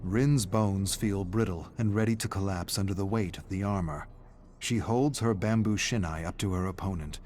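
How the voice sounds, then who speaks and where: A man reading dramatic scripted narration of a dark story in a measured, suspenseful way. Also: low voice, faint music behind.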